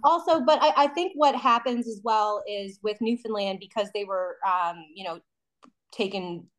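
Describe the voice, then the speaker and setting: A woman from Canada with a slight Nova Scotian accent, chatting casually as a podcast host. Her talk rambles along, full of fillers and restarts. Her pitch sits in an ordinary middle range. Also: normal speed, rough recording. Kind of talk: casual chat.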